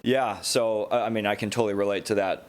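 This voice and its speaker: A man's voice: lowish voice, fairly even intonation, fairly quick, slight background noise. A young American man hosting a casual conversational interview, informal and affirming with his guest. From Hawaii, United States. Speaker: podcast host, interviewer.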